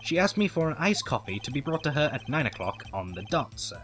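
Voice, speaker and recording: A man's voice: fairly high pitch, big pitch swings, a little fast, fairly bright tone, faint music behind. A man voicing an obsequious, formal head bellboy with an over-precise manner in a video game fandub. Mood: dutiful. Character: eager, obsequious male head hotel bellboy.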